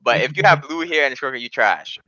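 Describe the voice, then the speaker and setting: A man's voice: mid-range voice, lively intonation, a little fast, bright, forceful tone, no room sound. A young man co-hosting a podcast, chatting casually with friends in an opinionated, slangy way. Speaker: podcast co-host. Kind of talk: casual animated chat among friends. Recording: dull, muffled online voice chat.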